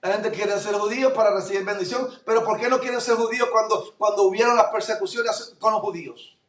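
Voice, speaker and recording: A male pastor from Puerto Rico with a Puerto Rican accent, preaching a fervent sermon that swings between loud declarations and low asides. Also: steady tone, brisk. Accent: Puerto Rican Spanish. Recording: echoey room, voice from far off mic.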